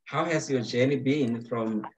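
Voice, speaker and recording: A man's voice: mid-range voice, a little slow, a little mellow, low-quality recording. A young man, hosting an online talk show over a video call and speaking fluently. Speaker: host. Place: home room, video call. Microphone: webcam on a video call.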